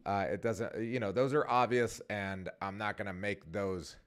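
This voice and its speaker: A man's voice: on the low side, fairly even intonation, normal speed, a bit bright, smooth. A man in his forties hosting his own vlog, talking casually and rambling with many fillers and restarts. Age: 40s. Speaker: vlogger and podcast host.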